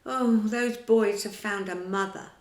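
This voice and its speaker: A woman voicing a booming, gloating pirate captain. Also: steady tone, measured pace, a little mellow, reverberant, lightly acted, rough recording. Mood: melancholy. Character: scheming male pirate captain, menacing.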